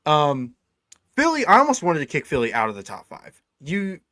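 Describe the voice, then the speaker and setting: Young male co-host, relaxed sports banter, dry recording. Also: a little high, moderate pace, no echo. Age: 20s. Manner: laid-back, says "dude" a lot. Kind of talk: casual sports chat.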